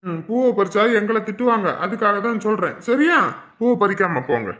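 A woman playing a scolding but soft-hearted male park watchman in a story drama, admonishing and repeating the warnings. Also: medium pitch, expressive, a little fast, lightly acted. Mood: softening, placating. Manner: admonishing, repetitive. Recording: noticeable background noise, small clicks.

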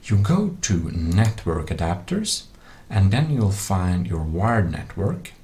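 A man's voice: sits low, sing-song, slow pace, dark tone. A man with non-native English phrasing, narrating a computer tutorial in a casual, explanatory way. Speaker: tech tutorial presenter. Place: voice-over for a screen recording.

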